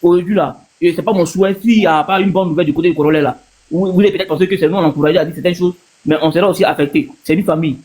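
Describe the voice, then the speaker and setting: A man's voice: a little high, steady tone, rapid-fire, a little mellow. A man from Ivory Coast with an Ivorian accent, giving his opinion casually as a panel guest on a live stream, repeating himself for emphasis.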